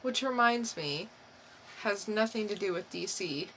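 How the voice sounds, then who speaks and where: A woman co-hosts a casual podcast with a bright, crisp-edged voice. She talks slowly at an average pitch, informal and brief in her replies. There is faint noise in the background. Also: lively intonation, poor audio. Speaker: podcast co-host.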